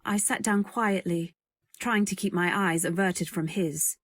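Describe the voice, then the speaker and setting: A woman's voice: fairly low pitch, measured pace, dry recording. A woman playing a modest, earnest young companion, narrating and speaking politely and wistfully in a radio drama. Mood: wistful narration. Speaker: narrator and heroine. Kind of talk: first-person narration in old-time radio drama.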